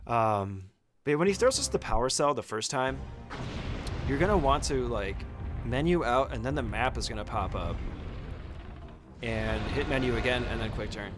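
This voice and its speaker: A man's voice: medium pitch, lively intonation, moderate pace, long pauses, music in the background. A man giving an informal, casual walkthrough commentary as a speedrunner teaching a game route, swearing now and then.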